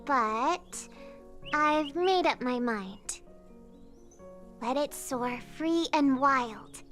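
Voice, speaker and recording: A little girl in a game drama, high and unhurried in her speech. She sounds innocent, gentle and earnest, a dreamy child with firm resolve. Soft music plays behind her. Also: full character voice. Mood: hopeful, determined. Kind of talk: video game drama acting.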